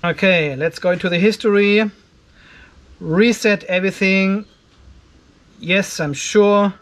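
A man's voice: high-pitched, slow pace. A man in his fifties giving a casual hands-on tech demonstration, conversational and thinking aloud as he works. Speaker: host of an off-grid solar video channel. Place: indoor garage workshop.

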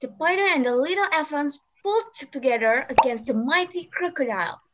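A woman's voice: high voice, lively intonation, brisk, background noise. A young woman reading scripted story narration over a video call, as a student narrator. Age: young adult.

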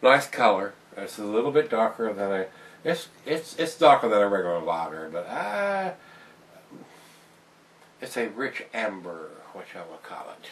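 A man's voice: on the low side, big pitch swings, a little slow, fairly bright tone, distant mic, a little hiss or hum. A middle-aged man hosting a casual beer review from a room at home, chatty and folksy, talking to viewers as guys. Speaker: beer reviewer, show host. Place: home room with glass shelves, indoors. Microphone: camera on tripod across the table.

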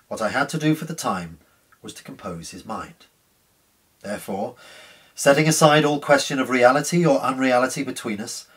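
Measured man narrating, slightly distant. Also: mid-range voice, slightly rough. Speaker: actor reading a short story. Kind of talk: dramatic literary narration. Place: small living room at home. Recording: slightly distant room microphone.

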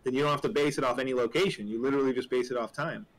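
A man's voice: mid-range voice, very fast, rough recording. A man chatting casually and informally, asking questions and offering comments on someone else's work. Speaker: visitor chatting with the streamer. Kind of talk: casual chat.

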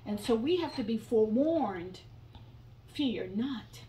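A woman's voice: fairly high pitch, animated, slow pace, dark tone, a little hiss or hum. An older woman preaching a fervent sermon at a pulpit in a small church, quoting scripture and breaking into song. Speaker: guest preacher. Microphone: phone camera from the pews, far off. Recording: echoey room, far from the phone mic.

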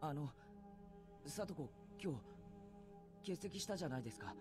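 An actor playing an earnest, uneasy teenage schoolboy in a Japanese visual novel. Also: male voice, a little high, animated, fast talker, lightly acted. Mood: uneasy, polite. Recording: music behind the voice. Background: game music.

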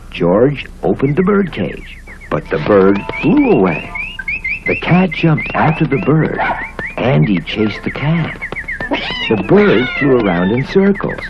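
Animated American male storyteller, swooping pitch. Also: takes it slowly, noisy background. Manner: theatrical, expressive storytelling. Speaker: storybook narrator. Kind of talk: scripted children's story narration. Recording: muffled old cartoon soundtrack with music.

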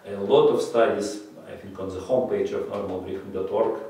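A middle-aged man speaking English as his third language, answering questions with frequent fillers and restarts. His voice sits a little low. He sounds distant from the mic in a strongly echoing room. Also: fairly even intonation, dark tone. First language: not English; English his third language. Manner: halting, many fillers and restarts, gestures while talking. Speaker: interviewee, breathing method teacher. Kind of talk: unscripted interview answers. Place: bright office room, indoors.

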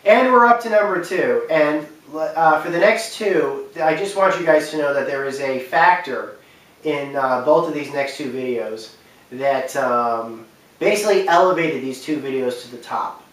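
A man's voice: fairly high pitch, moderate pace, a bit bright. Young man rambling in an echoey room. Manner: rambling, emphatic. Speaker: video countdown host. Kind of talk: casual, unscripted game commentary. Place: indoor wood-panelled room, echoey. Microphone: camera mic a few feet away. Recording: echoey room, distant camera mic.

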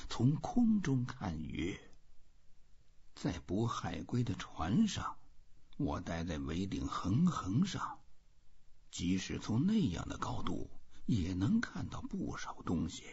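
A man's voice: low-pitched, creaky, a little mellow, slightly rough. A man reading a literary novel aloud as scripted audiobook narration, in a dull, muffled recording. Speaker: audiobook reader.

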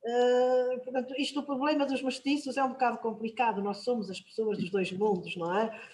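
An older woman from São Tomé and Príncipe with a European Portuguese accent, speaking on a video call panel, reflective and measured with long "ah" pauses. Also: fairly high pitch, fairly even intonation, a little mellow. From São Tomé and Príncipe, raised in Portugal. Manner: reflective, measured, with drawn-out "ah" fillers. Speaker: guest writer. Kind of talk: panel talk, personal account. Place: home room.

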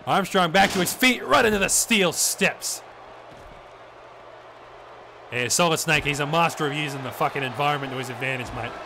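Profane, excitable wrestling commentator. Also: male voice, on the high side, fast. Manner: excited, profane, drops in "mate" often. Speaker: play-by-play commentator. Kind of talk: wrestling commentary on a video game match. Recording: noisy background.

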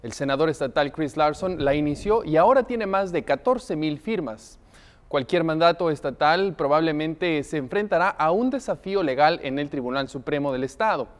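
High-pitched man reading news, reverberant sound. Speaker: news anchor. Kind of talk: scripted broadcast news reading. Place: TV news studio.

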